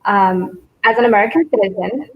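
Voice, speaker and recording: A woman's voice: medium pitch, a little fast, lo-fi sound. A woman raised in Taiwan whose first language is Mandarin, answering interview questions in an earnest way and laughing easily. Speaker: guest, business founder.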